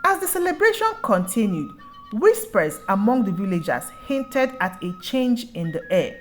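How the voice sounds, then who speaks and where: A woman's voice: mid-range voice, very animated, moderate pace, soft background music. A woman narrating a scripted folktale as a storyteller.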